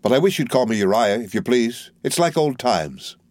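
A man's voice: medium pitch, expressive, moderate pace, darkish tone, no echo, clean studio sound, voice pushed up, close to an everyday voice. A man reading aloud and voicing a fawning, falsely humble young male clerk with a wheedling, obsequious manner.

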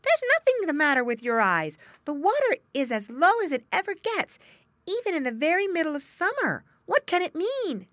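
A woman doing a shrill, playful young otter. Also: moderate pace, no room sound. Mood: excited. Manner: shrill and excited.

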